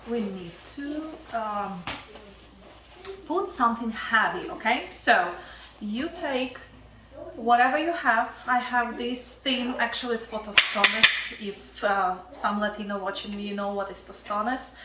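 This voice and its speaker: A woman's voice: fairly high pitch, a little slow, reverberant, recorded from a distance, noisy background. A woman with a Ukrainian accent hosting a casual cooking demonstration, chatty and often checking in with her viewers. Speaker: cooking show host.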